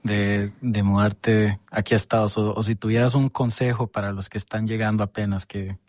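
A man's voice: on the low side, level tone, moderate pace, darkish tone, dry recording, clean studio sound. Rambling male interview host. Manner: rambling questions with many fillers. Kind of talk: casual interview.

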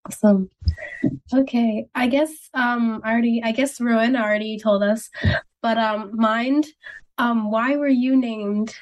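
A young woman from Thailand, hosting a student radio show. She reads a scripted intro, then asks questions casually with soft "um" fillers. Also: a little high, fairly even intonation, unhurried, rough recording. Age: young adult. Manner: friendly, with soft "um" fillers when asking questions.

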